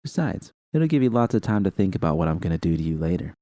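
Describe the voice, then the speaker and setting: A man reading a fan story voices an eager young woman tech, pitching his voice up for her lines. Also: sing-song, fast talker, mellow, no echo.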